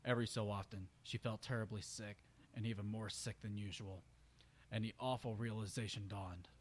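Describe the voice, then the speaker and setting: A man's voice: on the low side, steady tone, normal speed, slight background noise. A man giving an amateur dramatic reading of fan fiction, stumbling over words and correcting himself. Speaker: story reader.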